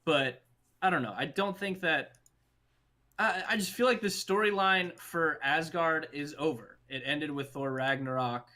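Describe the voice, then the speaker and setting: A man co-hosting a casual movie discussion, talking in an animated, opinionated, emphatic way. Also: fairly high pitch, measured pace. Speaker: podcast co-host.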